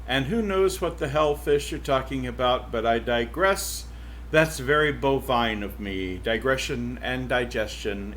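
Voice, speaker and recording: A man's voice: mid-range voice, a bit bright, slight background noise. A man reading aloud as a witty, digressive female cow narrating her story.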